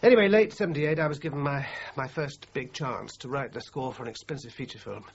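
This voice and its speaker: A man's voice: mid-range voice, a little fast, vocal fry, natural voice. An actor playing a defensive, down-on-his-luck film composer in a radio crime drama, hesitant and prickly in his self-justification. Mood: subdued, regretful. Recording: muffled, noisy radio drama recording.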